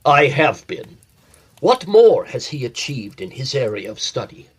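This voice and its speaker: A man's voice: medium pitch, big pitch swings, measured pace, breathy edge. A man playing a stern, reclusive scholar in a period audio drama, formal and imperious with a stiff courtesy. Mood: stiffly courteous. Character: stern, reclusive scholarly master of a lonely house.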